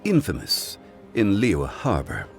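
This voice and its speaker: A man voicing a dignified, formal consultant who speaks in a measured and eloquent way. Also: low voice, wildly swooping pitch, gravelly, over music, exaggerated voice.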